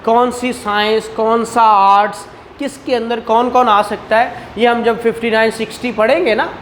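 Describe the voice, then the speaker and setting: A woman's voice: on the high side, fairly even intonation, normal speed, slight background noise. A woman with a Pakistani accent lecturing a class on law, conversational, asking questions as she explains and switching into English terms. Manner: conversational, frequent rhetorical questions and acted-out dialogue.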